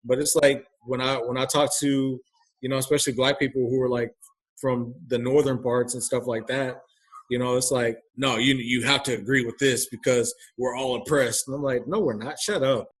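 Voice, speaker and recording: A man's voice: mid-range voice, fairly even intonation, normal speed, breathy edge, low-quality recording. A man speaking as a guest in a casual conversation, talking bluntly and candidly, with many restarts and "you know" fillers. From grew up overseas in a military family. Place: lives in Texas.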